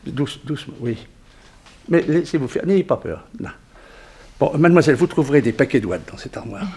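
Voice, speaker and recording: Male actor as jittery doctor, medium pitch, halting, soothing, vintage radio drama, noisy recording. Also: lively intonation, darkish tone, lightly acted. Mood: nervous, coaxing. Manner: hesitant, stammering, soothing. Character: nervous, hesitant male underworld surgeon. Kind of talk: 1960s French radio crime drama acting.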